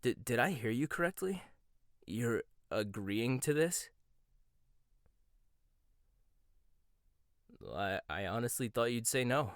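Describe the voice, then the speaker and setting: A man's voice: mid-range voice, lively intonation, moderate pace, dry recording, lightly acted. Man playing a smug rival chef, close and dry. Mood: taunting. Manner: teasing, smug, laughs often. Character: cocky rival male chef, condescending and competitive. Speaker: voice actor. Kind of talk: boyfriend ASMR roleplay.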